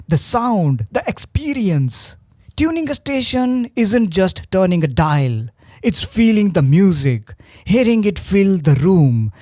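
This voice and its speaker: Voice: medium pitch, dark tone, no room sound, clean studio sound. A presenter narrating a scripted video review, with a wry, rhetorical manner.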